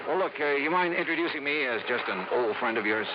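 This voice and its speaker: An American man playing a brisk, wry freelance insurance investigator in crisp 1950s radio detective drama style. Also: mid-range voice, lively intonation, noisy background, natural voice. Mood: probing. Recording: dull vintage radio recording, loud music behind.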